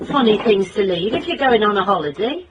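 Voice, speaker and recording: An actress playing a tough, determined private investigator in a radio drama, dry and wry. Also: female voice, medium pitch, big pitch swings, brisk, lo-fi sound, noisy background, close to an everyday voice. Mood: brisk, probing. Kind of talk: radio drama acting with first-person narration.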